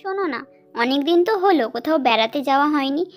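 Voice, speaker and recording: Caring mother, acted by a woman, coaxing, homely, high pitch, children's cartoon. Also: expressive, fast talker, soft background music. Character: caring mother of a schoolgirl, planning family outings. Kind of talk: children's cartoon story acting.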